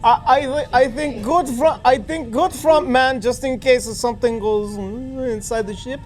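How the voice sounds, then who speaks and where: A man playing a tabletop role-playing game, speaking in a halting, hesitant way as he discusses with the group. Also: extremely high pitch. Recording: background music under the voice.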